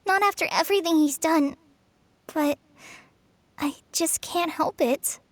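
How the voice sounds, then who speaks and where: An earnest, troubled young companion. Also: female voice, extremely high pitch, unhurried, no echo. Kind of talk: game voice acting.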